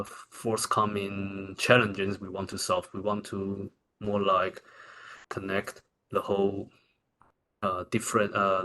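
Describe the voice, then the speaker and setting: A man with a Taiwanese accent answering questions as a researcher at a conference, in halting, informal phrasing with repeated words. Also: sits low, bright, forceful tone, a little hiss or hum. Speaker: researcher presenting.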